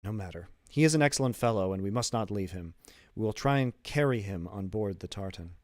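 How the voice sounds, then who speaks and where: A man's voice: lowish voice, expressive, fairly quick, darkish tone, no echo, studio quality, close to an everyday voice. A man voicing an old ship's captain, strict but loyal, in emphatic audiobook dialogue. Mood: concerned. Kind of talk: audiobook character dialogue, literary.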